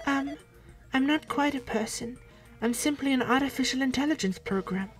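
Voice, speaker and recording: A woman voicing a soft-spoken young male artificial intelligence in a video game. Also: sits high, moderate pace, soft background music, voice pushed up, close to an everyday voice. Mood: gentle. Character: gentle young male AI program.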